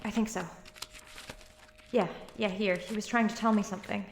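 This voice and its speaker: A woman's voice: mid-range voice, steady tone, normal speed, lightly acted. A woman playing a determined, anxious young college student in an audio drama. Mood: urgent. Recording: roomy, faintly noisy recording.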